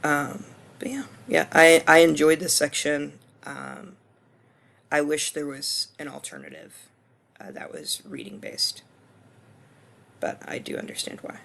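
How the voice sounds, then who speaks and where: A man in his twenties with a hoarse voice, talking through a course screencast reflection in a casual, hesitant way full of ums and restarts. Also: on the high side, big pitch swings, unhurried, a bit bright. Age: 20s. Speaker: student.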